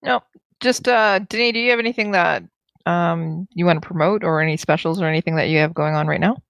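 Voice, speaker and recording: A woman with a lowish voice and plenty of vocal fry co-hosts a podcast. She banters casually in a teasing, playful way, recorded dry. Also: fast, a bit bright, no echo, highs cut off. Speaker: podcast co-host.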